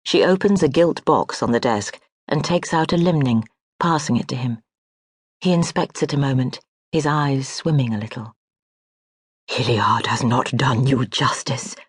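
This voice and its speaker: An audiobook narrator reading historical fiction in a measured, even storytelling manner. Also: mid-range voice, slightly rough, no echo. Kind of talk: scripted literary narration. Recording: dry close studio audiobook.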